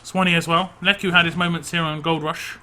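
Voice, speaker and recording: A man casting a video game match live, tired and losing his voice, with frequent fillers and quick self-corrections. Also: a little high, little pitch movement, bright, forceful tone, a little hiss or hum. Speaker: esports caster.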